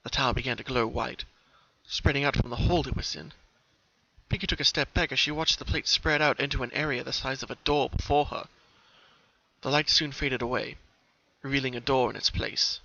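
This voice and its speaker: Male narrator for dramatic fiction reading: crisp-edged bright tone, fairly high, close and dry, slightly lo-fi sound. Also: steady tone, fairly quick, dry recording. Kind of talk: dramatic scripted story narration. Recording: slightly dull, lo-fi home recording.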